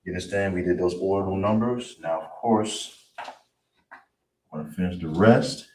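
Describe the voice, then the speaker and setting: A man teaching a live-streamed online language class in a relaxed way, often checking in with "you understand?" and "all right?". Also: sits low, moderate pace. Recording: roomy, distant, faint hiss.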